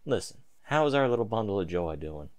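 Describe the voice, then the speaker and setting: A man's voice: on the low side, sing-song, fairly quick, darkish tone, clean tone. A man voicing a hard-working, devoted stallion farmer, affectionate and folksy, in a story. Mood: loving.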